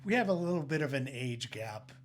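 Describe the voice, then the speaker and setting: A man's voice: medium pitch, expressive, brisk. A young man in his twenties co-hosting a casual podcast, making wry, joking asides and often restarting his sentences. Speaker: podcast co-host.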